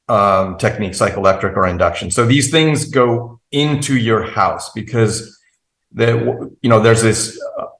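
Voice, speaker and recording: Man, guest expert, lowish voice, roomy echo, methodical and earnest. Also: a bit bright. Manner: earnest, methodical, frequent restarts and fillers. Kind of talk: explanatory interview answer.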